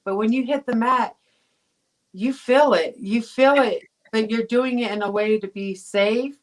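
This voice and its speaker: A woman's voice: fairly high pitch, a little slow, low-quality recording. Woman from Tonga, rambling talk. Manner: rambling, many fillers and restarts. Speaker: guest, pro boxer. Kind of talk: casual interview chat.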